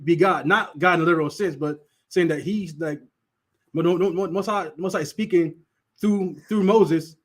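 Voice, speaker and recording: A man's voice: on the high side, moderate pace. Stuttering man teaching scripture. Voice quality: stutters, repeats words. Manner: laughs often, talks to the class directly. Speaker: teacher leading a Sabbath class. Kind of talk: casual Bible teaching.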